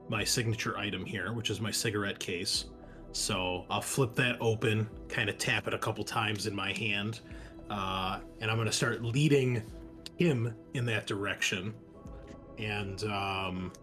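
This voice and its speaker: A man's voice: lowish voice, bright, forceful tone. A man playing a tabletop roleplaying game, chatting casually with a wry, joking manner. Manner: wry, joking, explains rules readily. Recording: faint music under the voice. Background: faint music.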